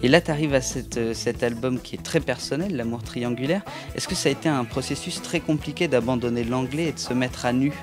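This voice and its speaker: A man in a mid-range voice hosts the interview, effusive and chatty. His questions ramble on at length, and music plays clearly behind him. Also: mid-range voice, fairly quick, few pauses. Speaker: interviewer and host. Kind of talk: enthusiastic casual interview.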